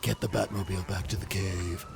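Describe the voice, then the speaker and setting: A man plays a wounded young vigilante in a comic dub, brooding and understated. His fairly low voice is hesitant, set against clearly noisy street ambience with sirens. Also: flat delivery, a little fast. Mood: bitter. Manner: low-key, hesitant, hurt.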